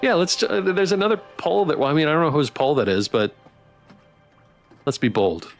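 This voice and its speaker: A man live-streaming a story game, switching between casual, playful, halting chat with viewers and reading narration aloud. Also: a little high, big pitch swings. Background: background music.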